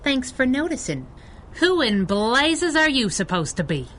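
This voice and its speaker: Sassy, bright-voiced superhero sidekick. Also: female voice, high-pitched, sing-song, a little slow, full character voice. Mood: sardonic, suspicious. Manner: sardonic, wisecracking. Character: sassy, fearless young woman superhero sidekick. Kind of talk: 1930s radio adventure acting.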